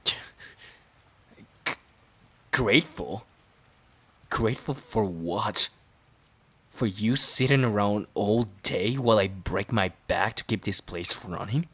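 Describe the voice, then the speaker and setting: A man playing a resentful, overworked boyfriend in an emotional audio roleplay, halting and sighing as he repeats himself. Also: lowish voice, a bit bright, slightly rough, exaggerated voice. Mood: angry disbelief. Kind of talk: emotional audio roleplay, intimate dramatic monologue.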